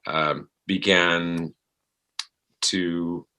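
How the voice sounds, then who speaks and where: Deep, slow, hesitant man with bright edge. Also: fairly even intonation. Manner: halting, hesitant, searching for words. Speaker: podcast guest, actor. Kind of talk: reflective interview conversation.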